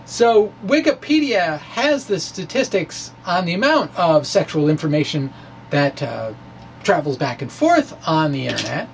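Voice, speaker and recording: A man giving an informal, halting reading of his science blog, with wry conversational asides. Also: fairly high pitch, very animated, creaky. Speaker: science blogger and presenter. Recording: distant mic with faint background noise.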